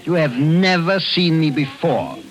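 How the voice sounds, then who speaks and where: A man's voice: fairly high pitch, lively intonation, measured pace. An actor playing a stiff, brusque German man with a heavy German accent in a 1940s radio drama. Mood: curt, menacing. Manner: curt, stiff, threatening. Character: brusque German man hiding his identity.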